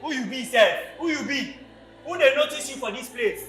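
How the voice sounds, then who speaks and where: Here is a combative Nigerian street character acted out on stage by a performer, boastful and quick to threaten. The voice is high and forceful, with music behind it. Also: lively intonation. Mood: scornful. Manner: combative, loud, repetitive challenges. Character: brash, quarrelsome street character called Piracy, quick to threaten. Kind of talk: stage play acting in Nigerian Pidgin.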